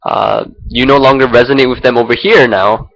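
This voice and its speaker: A man's voice: mid-range voice, fairly even intonation, brisk, vocal fry, a bit bright, low-quality recording. Young man musing aloud on a laptop. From Singapore. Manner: rambling, hesitant, many fillers and restarts. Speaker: vlogger. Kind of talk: unscripted personal reflection. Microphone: laptop built-in mic.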